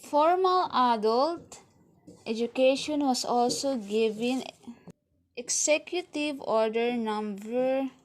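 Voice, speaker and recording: A young woman with a Filipino accent reads through a slide presentation from a webcam at home, working through lists and trailing off quietly at the ends of lines. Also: fairly high pitch, slow, low-quality recording. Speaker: student presenter.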